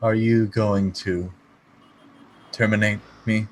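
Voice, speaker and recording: A man playing a melancholy, polite android poet in a radio play, speaking in a stilted, formal, halting way. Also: on the low side, flat delivery, a little hiss or hum. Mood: flat, resigned. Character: melancholy, polite male android poet, fast-food worker.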